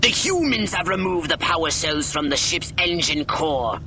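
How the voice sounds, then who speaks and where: A man voicing a shrill, scheming alien scientist who lectures in a grandiose, rapid way. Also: expressive, sharp tone, slight background noise. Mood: commanding. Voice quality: shrill, high and nasal. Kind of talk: video game cutscene acting.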